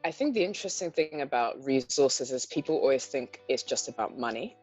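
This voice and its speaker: A woman's voice: extremely low pitch, expressive, brisk, darkish tone, slightly rough. Thoughtful English woman with a London-area accent. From England. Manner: thoughtful, articulate, frequent "um" fillers, light laughs. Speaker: guest entrepreneur. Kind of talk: conversational interview answers. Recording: dull lo-fi audio with faint guitar music.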